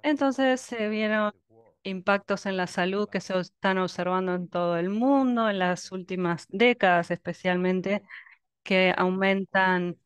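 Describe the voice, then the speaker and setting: A woman interpreting a scientific webinar talk live, halting now and then with pauses and fillers. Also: mid-range voice, smooth, dry recording. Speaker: simultaneous interpreter.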